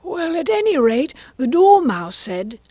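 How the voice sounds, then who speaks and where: A woman's voice: sits high, big pitch swings, a little slow, dark tone, dry recording, voice pushed up. A woman voicing a nervous, poor male hatter on trial in a storybook, with a trembling, hesitant, pleading voice.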